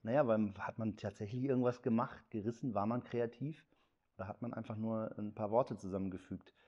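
Man, 50s, low mellow voice, blunt interviewee, slightly muffled recording. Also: fairly even intonation, fairly quick. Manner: blunt, opinionated. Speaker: interviewee photographer and director. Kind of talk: casual interview talk.

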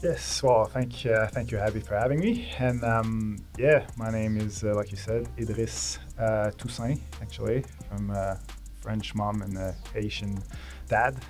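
A man's voice: fairly low pitch, fairly even intonation, measured pace, darkish tone, faint music behind. A man in his thirties from Canada, chatting casually as a podcast guest with a loose, rambling manner and many fillers, on a close studio mic in a small home room. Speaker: podcast guest, sports videographer. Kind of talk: casual interview chat. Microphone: studio microphone on a boom, close.

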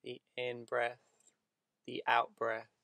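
Slow, creaky-voiced man guiding meditation. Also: medium pitch, completely flat delivery, pushed and bright, dry recording. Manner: soothing, unhurried short phrases with long pauses. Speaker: meditation guide. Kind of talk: guided meditation.

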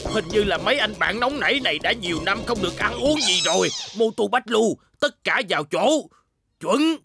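A man's voice: high voice, lively intonation, normal speed, gravelly, music in the background. A man voicing a boastful, blustering cartoon police chief in a pompous, commanding manner. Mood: flustered, commanding. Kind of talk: Vietnamese cartoon dubbing.

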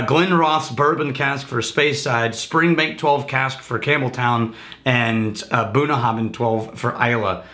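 A man in his thirties hosting a casual whiskey tasting, joking and lecturing with lively gestures in a home bar. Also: medium pitch. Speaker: whiskey reviewer and teacher. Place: home bar room lined with bottle shelves.

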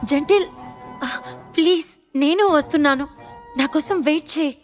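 A woman's voice: normal speed, faint music behind, lightly acted. A woman voicing a submissive, clinging female character in an audio story, begging and placating. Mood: pleading. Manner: begging, placating, high-pitched. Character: submissive, pleading woman clinging to cruel man.

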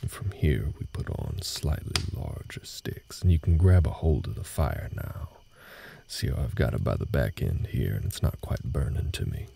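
A man playing a gruff, guarded cowboy ranch hand in an audio roleplay, talking in a slow, folksy, halting way with a husky, gravelly voice. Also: very deep voice, very animated, mellow, a little hiss or hum, full character voice. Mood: hushed, patient. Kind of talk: intimate scripted audio roleplay, slow speech to the listener.

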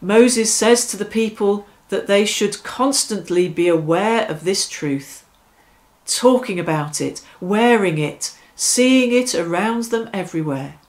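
Slow, deliberate woman leading prayers. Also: medium pitch, darkish tone. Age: middle-aged. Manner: deliberate, phrase-by-phrase delivery. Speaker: prayer leader. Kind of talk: devotional reflection and prayer, measured reading. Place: small domestic room, seated on a sofa.

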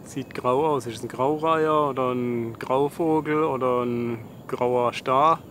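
A man's voice: mid-range voice, fairly even intonation, unhurried, a bit bright. A man giving casual, laid-back travel commentary with dry, colloquial wit. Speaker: travel vlogger. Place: on the move, in a vehicle and outdoors. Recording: clear outdoor and vehicle noise.

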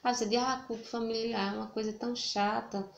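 Earnest woman vlogging, flat steady voice. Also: fairly high pitch, fairly quick, vocal fry, lo-fi sound. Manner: earnest, repeats "né?" and "tá bom?" often. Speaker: family vlogger. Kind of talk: casual devotional vlog talk. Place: small room indoors. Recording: echoey room, faint ambient noise.

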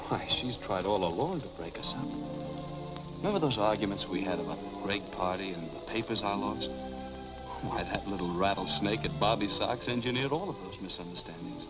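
An American actor in his thirties playing a smooth, apologetic young husband, earnest and glib, in a 1940s Hollywood film. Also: fairly low pitch, expressive, a little fast, close to an everyday voice. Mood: indignant. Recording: muffled vintage film soundtrack with music.

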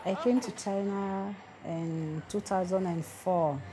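A woman's voice: medium pitch, steady tone, slow. A woman, a mother and trader, speaking frankly and plainly in a personal interview. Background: background music.

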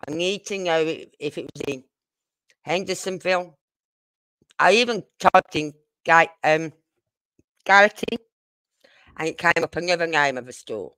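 A British woman chats casually as a livestream host, drifting informally from point to point. Her pace is slow and her voice is clean and smooth. The sound is dry, with little room around it. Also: sits low, sharp tone, dry recording, rough recording. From United Kingdom. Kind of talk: casual true-crime livestream chat.

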